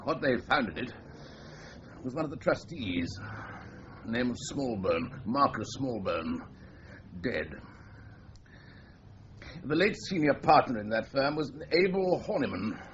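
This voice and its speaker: Senior British police chief, brisk, dry, authoritative, animated mid-pitched voice, radio crime drama, muffled sound. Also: male voice. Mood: brisk, exasperated. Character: brisk senior policeman, male superior briefing his inspector. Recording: muffled radio drama recording, faint noise.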